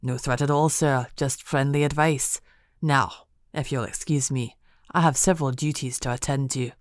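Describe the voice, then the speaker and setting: A man's voice: mid-range voice, steady tone, normal speed, no echo, studio quality, natural voice. A woman voicing an indignant, threatening male servant who barks his words. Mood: smug. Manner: barking, brusque.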